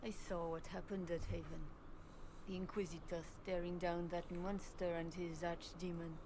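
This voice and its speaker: An idealistic soldier woman played by an actress with a lowish voice, sincere and devout. She speaks in earnest fantasy game style over clearly audible background noise. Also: flat delivery, normal speed, natural voice. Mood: fervent. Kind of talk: fantasy video game acting.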